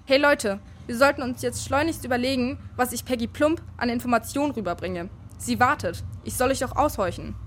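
Children's radio drama: actress as insistent, earnest schoolgirl, high register, slight ambience behind. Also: brisk, hardly any pauses, slight background noise, close to an everyday voice. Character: earnest, eager schoolgirl turned double agent. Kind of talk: children's radio play acting.

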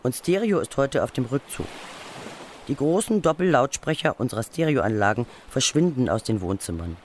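A man's voice: mid-range voice, animated, normal speed, slight background noise. A man reading scripted documentary narration for a radio feature.

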